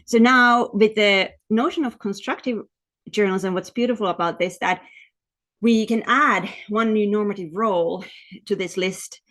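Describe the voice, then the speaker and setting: A woman's voice: medium pitch, normal speed, fairly bright tone. A woman from Finland with a Finnish accent, presenting like an academic lecturer on a video call, explaining and often repeating words as she goes. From Lapland, Finland. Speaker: academic researcher presenting at a webinar.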